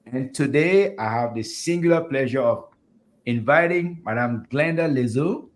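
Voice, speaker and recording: A man's voice: medium pitch, expressive, normal speed. A man from Africa hosting a virtual fireside chat over a video call, chatty and enthusiastic, laughing easily. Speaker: host.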